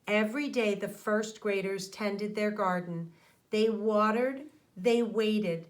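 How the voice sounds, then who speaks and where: A middle-aged woman, an elementary teacher reading aloud and talking directly to young children in an expressive way, close to a microphone indoors. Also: medium pitch, slow. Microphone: microphone on a boom arm close to mouth.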